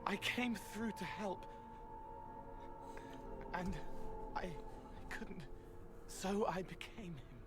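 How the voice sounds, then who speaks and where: A man playing an anguished young spirit turned human in a video game, speaking in halting, intense fragments. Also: high voice, music in the background. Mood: haunted, grieving.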